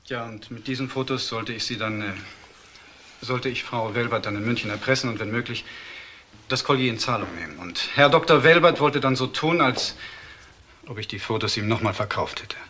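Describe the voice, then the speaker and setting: An actor playing a hired schemer in a crime drama, explaining himself haltingly under police questioning. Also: male voice, mid-range voice, pushed and bright, slight background noise, close to an everyday voice. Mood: confessing.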